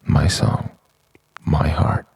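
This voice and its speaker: A man delivering an introspective, poetic spoken-word monologue in deliberate, halting phrases with dramatic pauses, his voice gravelly and sometimes sinking toward a whisper. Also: a little mellow, dry recording.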